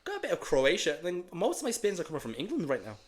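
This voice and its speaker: A young man with a light, soft Dublin accent chats as a guest on a podcast. He talks quickly but stays laid-back and easygoing. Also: high voice, huge pitch swings. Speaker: guest rapper. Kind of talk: casual chat.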